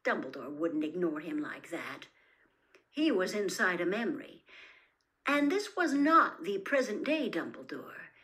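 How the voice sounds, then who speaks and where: A woman narrating a storybook expressively and dramatically, dropping into hushed, suspenseful phrasing. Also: on the low side, big pitch swings, a little slow. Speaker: audiobook-style reader of stories.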